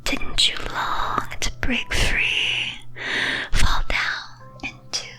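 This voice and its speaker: A woman playing a teasing, wicked demon mistress in a breathy, theatrical ASMR whisper, full of dramatic rhetorical questions. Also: very slow, bright, forceful tone, soft background music, exaggerated voice. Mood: seductive, provoking. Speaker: streamer performing as a demon-mistress persona.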